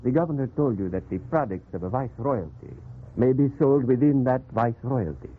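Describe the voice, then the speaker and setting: An actor playing a kindly, steadfast priest in an earnest 1940s radio drama manner, measured and declamatory. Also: male voice, medium pitch, big pitch swings. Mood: patient, explanatory. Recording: tinny, noisy 1940s radio recording.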